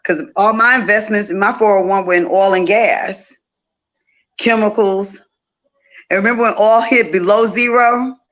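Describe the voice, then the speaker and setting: Bright-voiced woman, muffled audio. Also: medium pitch, fairly even intonation, moderate pace. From Louisiana, United States. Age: 50s. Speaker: interview guest, festival organizer. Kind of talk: casual conversational storytelling.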